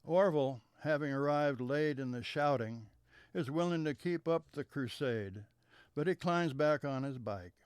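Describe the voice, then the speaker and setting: A man reading a childhood memoir aloud in a measured storytelling manner, letting sentences trail off softly at their ends. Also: fairly high pitch, clean tone, dry recording, clean studio sound.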